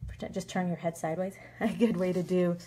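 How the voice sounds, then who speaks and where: A woman's voice: on the low side, fairly even intonation, a little fast, dark tone, breathy edge. Chatty woman narrating a craft tutorial. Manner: chatty, thinking aloud with fillers. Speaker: craft video host. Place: indoors at a table. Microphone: phone filming from overhead.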